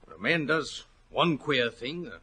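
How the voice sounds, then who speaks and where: An actor playing a worried, plain-spoken racehorse trainer in a 1940s radio drama, earnest and respectful, with rustic turns of phrase. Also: male voice, mid-range voice, very animated, a little slow, pushed and bright, slight background noise. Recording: old 1940s radio recording.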